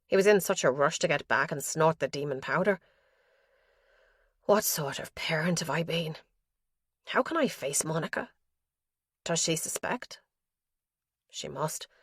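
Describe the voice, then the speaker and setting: Lady narrator doing an old dad reading out his worried diary, low voice, dry sound, guilty and scared. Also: fairly bright tone, dry recording, heavily acted. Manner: anxious, confessional, guilt-ridden. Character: worried elderly father writing in his diary.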